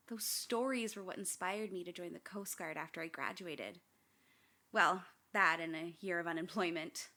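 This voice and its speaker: A woman's voice: fairly low pitch, vocal fry, a bit bright. A young woman playing a candid, wry daughter haunted by family tragedy, narrating a radio drama. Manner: reflective, wry. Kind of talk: first-person scripted narration.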